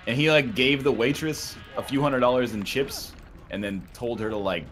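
A man's voice: medium pitch, moderate pace, a bit bright, faint music behind. A man chatting casually with friends while playing a video game. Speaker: player in a group of gamers. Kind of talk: casual gaming banter.

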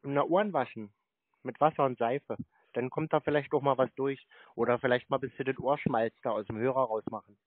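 Male prank caller, sarcastic and deadpan, heard down a tinny phone line. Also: medium pitch, a little fast, dry recording. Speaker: channel host trolling a scam caller. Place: on a phone call.

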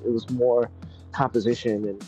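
A man's voice: mid-range voice, moderate pace, soft background music, rough recording. Easygoing New York man on a video call. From Brooklyn, New York. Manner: relaxed, rambling with many fillers. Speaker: guest artist. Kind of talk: casual interview chat. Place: outdoors in a city courtyard.

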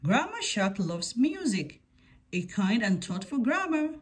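A woman's voice: medium pitch, sing-song, measured pace, slightly rough. A woman reading a picture book aloud to children as a scripted story-time narration.

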